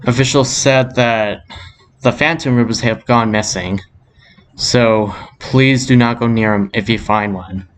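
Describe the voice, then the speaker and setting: A man's voice: mid-range voice, a little slow, slight background noise, lightly acted. A man playing a news anchor, reading a scripted amateur disaster bulletin in a formal way. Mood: serious. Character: male breaking news anchor reporting a disaster. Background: music.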